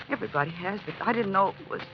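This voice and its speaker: A woman plays a tough young tent show dancer in a 1940s radio drama. She talks quickly and brusquely, wary and streetwise. The sound is dull, with faint hiss. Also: mid-range voice, expressive, natural voice. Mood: defensive. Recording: muffled, hissy old radio recording.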